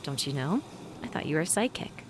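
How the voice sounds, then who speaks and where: A woman's voice: low voice, lively intonation, fairly quick, background noise. Woman reading as a wry young woman. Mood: defensive. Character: guarded, wry young woman in her twenties.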